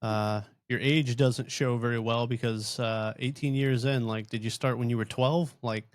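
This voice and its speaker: A man hosting a podcast interview, asking questions in a relaxed, casual way. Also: medium pitch, moderate pace, no echo. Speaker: podcast host.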